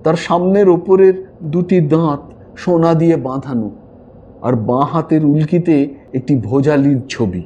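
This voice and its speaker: A man narrating a classic story in an expressive, theatrical way. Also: a little high, measured pace, dull audio, a little hiss or hum. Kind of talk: dramatic audio-story narration of classic fiction.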